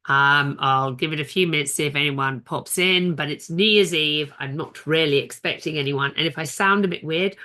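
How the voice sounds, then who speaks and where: A woman's voice: low-pitched, normal speed, hardly any pauses, sharp tone. A woman giving an online seminar in a chatty, rambling way, sounding nasal from a cold. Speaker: seminar coach.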